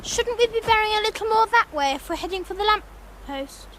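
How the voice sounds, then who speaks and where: A woman's voice: very high voice, expressive, a little fast, a bit bright, a little hiss or hum. An actress playing an earnest, kind-hearted little British schoolgirl in a radio drama. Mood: gentle, practical.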